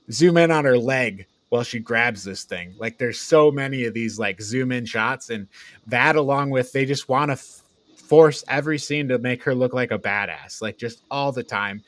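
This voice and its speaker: Male film-podcast co-host, middle pitch, critical and conversational, very dry close sound. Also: normal speed, a bit bright, no room sound. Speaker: podcast co-host reviewing films. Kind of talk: casual chat, film review.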